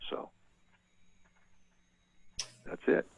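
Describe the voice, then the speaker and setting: A middle-aged man from California, an author answering interview questions thoughtfully, often repeating words and restarting his sentences. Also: very slow. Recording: dry tinny video-call audio.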